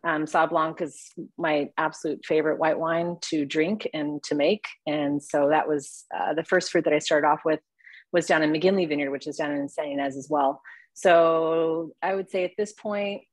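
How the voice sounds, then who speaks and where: A woman's voice: low voice, steady tone, moderate pace, dry recording. A woman from California who owns a winery and makes the wine, talking in an upbeat, chatty way on a video call. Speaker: winery owner and winemaker. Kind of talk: video call panel talk.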